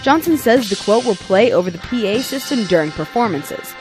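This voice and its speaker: A woman news reporter reading a scripted report in a clear, steady delivery. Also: medium pitch, fairly quick. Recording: music playing behind.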